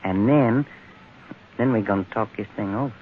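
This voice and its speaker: A man's voice: lowish voice, normal speed, breathy edge. An actor playing a folksy, smitten, protective tough man with hesitant phrasing in an old radio adventure. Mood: smitten, reassuring. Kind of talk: 1940s radio adventure acting. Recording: dull lo-fi old radio, faint noise.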